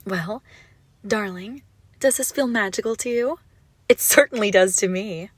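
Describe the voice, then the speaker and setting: A woman playing an obsessive, gushing yandere kidnapper in a roleplay audio drama, menacingly sweet, with breathy, doting coos. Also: mid-range voice, measured pace, dry recording. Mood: lovestruck, possessive. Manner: breathy doting coos, often dropping to whispers. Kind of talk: roleplay audio drama, intimate monologue to listener.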